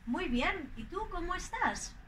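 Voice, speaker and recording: An older woman from Madrid with a Madrid Spanish accent, acting out a slow, clearly spoken teaching dialogue outdoors on a clip-on mic. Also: extremely high pitch, expressive, noisy background. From Spain. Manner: playful, deliberate spelling out of words. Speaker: language teacher in a scripted dialogue. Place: outdoors in front of a green wall.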